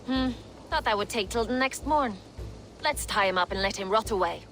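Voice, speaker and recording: A woman's voice: medium pitch, expressive, moderate pace, fairly bright tone, soft background music, natural voice. A woman voicing a menacing, mocking female Viking raider in a video game. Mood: dismissive. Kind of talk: game voice acting.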